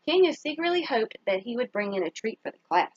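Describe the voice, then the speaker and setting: A woman reading a children's story aloud as a teacher would, pausing to put simple questions to young listeners and praise their answers. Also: on the high side, sing-song, slightly rough, dry recording, muffled recording.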